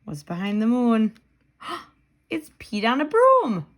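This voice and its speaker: A woman's voice: on the high side, slow. A woman reading a children's storybook aloud expressively, asking questions of young listeners in a dramatic manner. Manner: animated, with dramatic gasps and simple clear phrasing.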